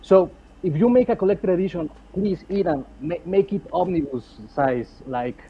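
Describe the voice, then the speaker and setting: A man's voice: high voice, moderate pace, breathy edge. A man from Mexico with a Mexican Spanish accent, calling in and speaking English as a second language, often repeating words as he searches for them. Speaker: caller. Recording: dull lo-fi call audio with faint hiss.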